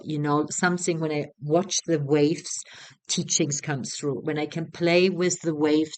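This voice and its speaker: A woman in her thirties with a German accent, a retreat facilitator talking casually, halting and repeating words as she goes. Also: deep voice. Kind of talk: casual spoken promotional talk.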